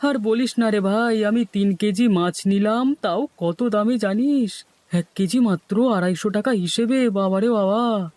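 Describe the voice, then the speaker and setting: A man's voice: high voice, unhurried, darkish tone. A man voicing a grumbling, complaining village shopper in a cartoon. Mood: aggrieved. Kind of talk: cartoon dialogue.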